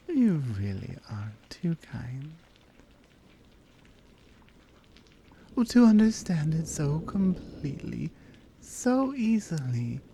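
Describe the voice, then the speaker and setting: A woman playing a strong, tender lioness in an intimate ASMR roleplay, speaking softly and earnestly with deliberate, halting phrasing. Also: sits low, slight background noise, full character voice. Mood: tender, curious.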